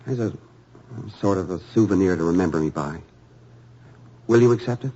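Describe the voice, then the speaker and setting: A man's voice: deep voice, fairly quick, mellow, slightly rough. An actor playing an eager, humble young male author in a 1950s radio drama, earnestly stammering as he speaks. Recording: dull lo-fi old radio broadcast.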